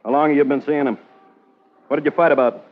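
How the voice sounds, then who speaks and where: A man's voice: on the high side, fairly even intonation, fast, close to an everyday voice. An actor playing a dogged American police captain in a 1940s radio drama, blunt and clipped as he interrogates. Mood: pressing. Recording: tinny, narrow-band vintage radio recording.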